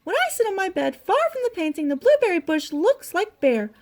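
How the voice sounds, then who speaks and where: Storybook little girl mouse played by a woman: very high, swooping voice, earnest and insistent. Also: big pitch swings, brisk, exaggerated voice. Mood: thoughtful. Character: small female mouse, earnest young painter, insistent.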